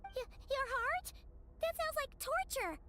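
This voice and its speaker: A woman voicing a tiny, childlike, excitable companion creature in video game dialogue. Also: very high-pitched, takes it slowly, noisy background, full character voice. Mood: shocked. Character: tiny, squeaky, childlike floating companion, easily shocked.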